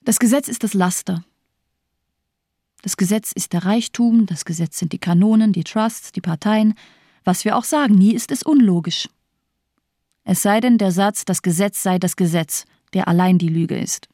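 A woman's voice: medium pitch, moderate pace, dark tone, no room sound, clean studio sound, natural voice. An actress playing a cold, bitterly nihilistic female doctor in a German radio crime drama, speaking in bleak, disdainful declamation. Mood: contemptuous, bitter.

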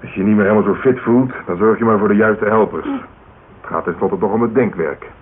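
An actor playing a jaded, blunt, middle-aged old-school police detective in a radio drama, grumbling and needling. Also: male voice, on the low side, brisk, lightly acted. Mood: pressing. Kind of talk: naturalistic radio drama dialogue. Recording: tinny, noisy old radio recording.

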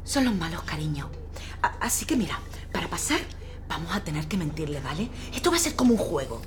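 A woman's voice: low-pitched, very animated, a little fast. An actress playing a protective, defiant woman fleeing war in an audio drama, forthright and sardonic, with an accent from Spain. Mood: hushed, coaxing. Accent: Spanish, from Spain.

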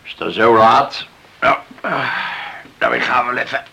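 A man acting a gruff, drowsy father just roused from sleep in a radio drama. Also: mid-range voice, a little slow, pushed and bright, exaggerated voice. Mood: groggy.

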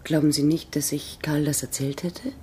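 A woman's voice: low-pitched, steady tone, moderate pace, warm tone, slightly rough. An actress playing a cool, teasing, shrewd female spy in a German radio play. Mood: composed, firm.

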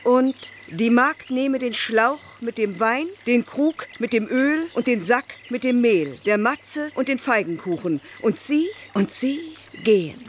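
Actress as biblical storyteller, slow, halting, chant-like, radio play, faint background noise. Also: female voice, on the high side, lightly acted. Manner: halting, repetitive, chant-like recitation. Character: incantatory female storyteller of an ancient biblical tale. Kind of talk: literary radio play narration.

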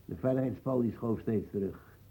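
An actor playing a proud, defensive old colonial ex-soldier uncle in a radio play, talking in hesitant, scornful bursts. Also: male voice, mid-range voice, normal speed, vocal fry, warm tone, husky, low-quality recording. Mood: evasive, grumbling. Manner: hesitant fillers, tag words, scornful laughing.

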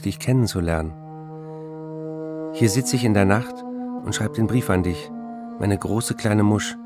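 Male actor as troubled, remorseful writer, intimate and measured, gravelly delivery, radio-play letter reading with music underneath. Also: on the low side, close to an everyday voice. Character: troubled male writer confessing to his wife, tender, remorseful.

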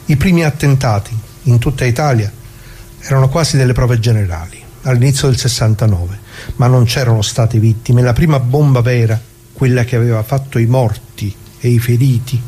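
A man's voice: mid-range voice, dark tone, natural voice. An actor playing a plain-spoken left-wing worker who recalls the past in a colloquial theatrical monologue, putting questions to his listeners. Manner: conversational, rhetorical questions to listeners. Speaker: narrator of radio drama.

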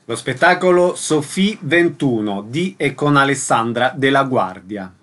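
A man reads a scripted announcement for a radio programme. His voice is medium-pitched, with faint guitar music playing behind him. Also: animated, measured pace, fairly bright tone.

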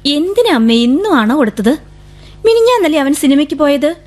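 An actress playing a young woman who writes poems and has a law degree, soft-spoken and reluctant. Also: high-pitched, sing-song, a little fast. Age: young adult. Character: dreamy young woman, law graduate, poet. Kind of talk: radio drama acting. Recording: vintage radio broadcast with music.

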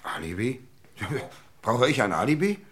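An actor playing a defensive, evasive suspect in a radio crime drama, indignant and scornful. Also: male voice, mid-range voice, big pitch swings, a little slow, fairly bright tone, slight background noise.

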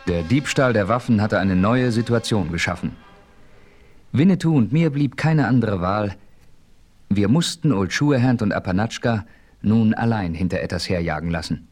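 An actor as a composed western adventurer, telling his own story in a German radio play with measured narration. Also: lowish voice, a little mellow, faint music behind, close to an everyday voice. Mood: composed, narrating. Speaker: first-person narrator.

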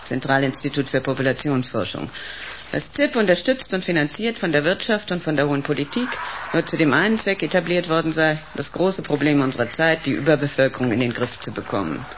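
An actress playing a cold, authoritative professor of psychiatry in a radio drama, measured and clinical. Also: female voice, deep voice, animated, slight background noise. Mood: cold, menacing. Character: cold, authoritative female professor running a locked psychiatric ward.

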